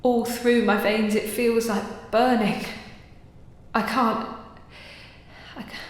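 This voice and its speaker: An actress playing a desperate, frightened new mother in an audio drama, halting and repeating herself in anguish. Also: on the high side, steady tone. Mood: haunted. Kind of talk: naturalistic audio drama acting. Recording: very echoey reverberant hall, music behind.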